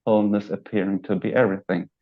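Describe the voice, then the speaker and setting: Man guest, low voice, halting and searching, dull muffled call sound. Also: flat delivery. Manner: halting, searching for words, with frequent fillers and restarts. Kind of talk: reflective spiritual conversation. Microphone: video call.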